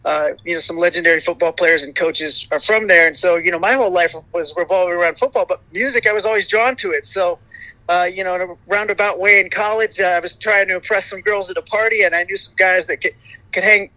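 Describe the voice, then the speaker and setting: A middle-aged man with a high, light voice, giving a rambling interview full of "you know" fillers and restarts. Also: fast talker, sharp tone, no room sound, poor audio. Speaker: interview guest, singer in a vocal group. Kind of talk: casual interview chat.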